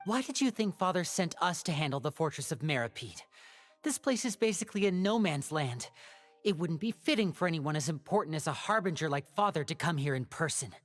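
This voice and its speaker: A woman's voice: low-pitched, expressive, fairly quick. A woman playing a guarded, defiant young male magician in a video game, pointed and challenging. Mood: guarded, resolute.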